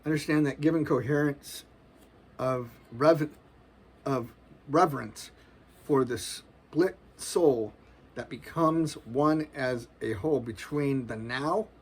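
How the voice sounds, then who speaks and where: A man's voice: mid-range voice, slow, slightly rough. A man in his forties giving a loose, earnest spiritual monologue, casual and full of slang. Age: 40s. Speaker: spiritual vlogger.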